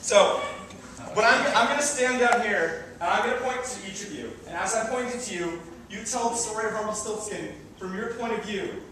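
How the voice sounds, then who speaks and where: A man's voice: high-pitched, brisk, far from the mic. A man hosting an improv comedy show, giving informal instructions on stage in an echoey, noisy room.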